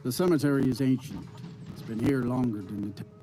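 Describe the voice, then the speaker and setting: An actor playing a modest, plain-spoken farmer in a fantasy video game, talking in a matter-of-fact way with music behind him. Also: male voice, medium pitch, fairly quick, warm tone, close to an everyday voice. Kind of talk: video game character dialogue.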